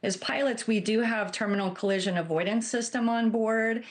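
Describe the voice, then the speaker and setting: A woman airline captain giving measured, earnest explanations as an expert guest in a news interview. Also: fairly high pitch. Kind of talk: broadcast news interview answers.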